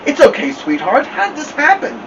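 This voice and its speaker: A man's voice: high voice, huge pitch swings, normal speed, far from the mic, lo-fi sound, noisy background, voice pushed up, exaggerated voice. A man voicing a worried, caring mother with Southern turns of speech in a story.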